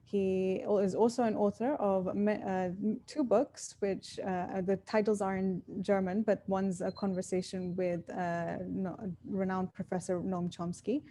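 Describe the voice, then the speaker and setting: A woman's voice: medium pitch, level tone, measured pace, few pauses, warm tone, a little hiss or hum. A woman hosting an interview series, reading her introduction with hesitant fillers and then asking the guest questions over a video call. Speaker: podcast host.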